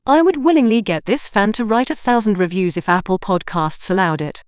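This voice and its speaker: A woman's voice: mid-range voice, brisk, clear, resonant tone, dry recording, studio quality, close to an everyday voice. A woman playing a florid, obsequious, scheming minion in a sci-fi audio drama parody. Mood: smooth, sly. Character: fawning, scheming noblewoman minion, grandly theatrical and devious.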